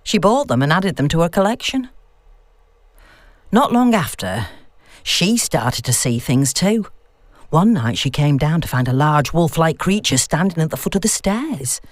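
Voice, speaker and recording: A woman's voice: low-pitched, big pitch swings, measured pace, dry recording, full character voice. A woman voicing a knowing, unflustered female academic who tells spooky tales in an audiobook story. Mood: eerie storytelling. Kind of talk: audiobook dialogue.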